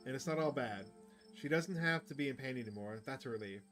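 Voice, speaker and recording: A man's voice: medium pitch, lively intonation, a little fast, over music, lightly acted. A man voicing an awkward, sympathetic newcomer, the protagonist of a visual novel, reading the dialogue aloud. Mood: subdued.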